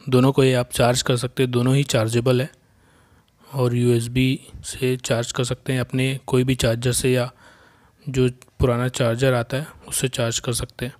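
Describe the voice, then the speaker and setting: A man's voice: medium pitch, darkish tone. A man giving a casual, plain-spoken product review and explaining as he goes.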